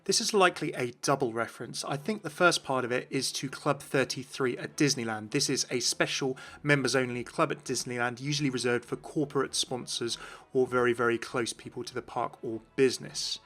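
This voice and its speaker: A man's voice: medium pitch, a little fast, fairly bright tone. A man hosting an explanatory video about game lore, with music behind him.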